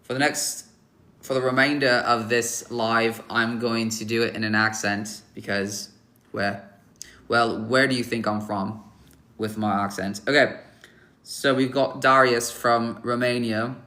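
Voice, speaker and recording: A man's voice: mid-range voice, fairly even intonation, unhurried, pushed and bright. A young man hosting a casual livestream, giving blunt, offhand verdicts on viewers' photos with lots of fillers and teasing jokes at the chat. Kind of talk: casual live-stream chat.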